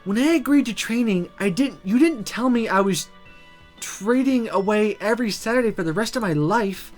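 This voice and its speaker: Performer as pushy, higher-pitched schoolgirl witch. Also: animated, normal speed, faint music behind, natural voice. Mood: whiny, bored. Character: impulsive, stubborn schoolgirl witch, Sophie's mischievous friend. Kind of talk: visual novel character voice.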